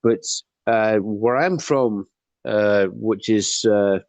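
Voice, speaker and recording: A man with a Scottish accent from the Highlands, talking casually in a group discussion, hesitant with many fillers and restarts. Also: slow pace, a little mellow, no room sound.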